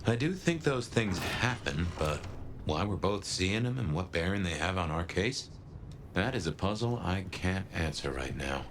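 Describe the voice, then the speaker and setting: An actor playing a wry, world-weary vampire detective in a dry noir video game style. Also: male voice, low-pitched, very animated, moderate pace, noisy background. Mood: brooding.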